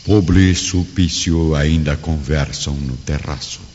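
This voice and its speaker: A man's voice: very deep voice, measured pace, a little mellow, slight background noise. A man narrating a radio drama from a script in a solemn, theatrical manner. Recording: dull audio with long reverb and music.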